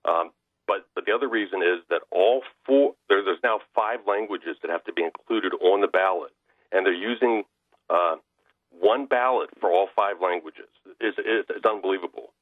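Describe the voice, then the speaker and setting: An American man giving political commentary as a radio guest over the telephone, halting and often restarting his sentences. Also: low-pitched, no room sound. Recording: narrow tinny phone line.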